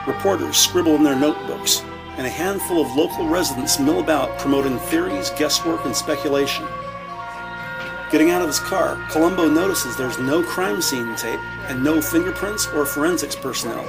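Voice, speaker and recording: A man reading scripted audio drama narration in a measured, descriptive way. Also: on the high side, creaky, loud music behind the voice, rough recording.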